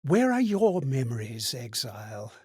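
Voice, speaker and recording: An actor playing a gentle, sorrowful male spirit of borrowed memories in a video game, husky and breathy, with theatrical, musing delivery. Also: mid-range voice, very animated, unhurried, dry recording, studio quality. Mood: wistful, searching. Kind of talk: scripted video game character monologue.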